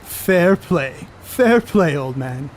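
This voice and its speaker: Male actor as boastful 1930s ballplayer, high voice, folksy needling slang, amateur stage play, faint background noise. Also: animated, very slow. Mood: sly, needling. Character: Babe Ruth, brash, boastful, crude, star ballplayer.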